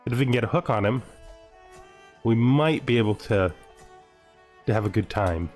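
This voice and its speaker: A man's voice: mid-range voice, animated, normal speed, darkish tone. A man giving casual, jokey let's-play commentary on a strategy game, thinking aloud and often putting questions to himself. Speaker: gaming video host. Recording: background music under the voice. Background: music.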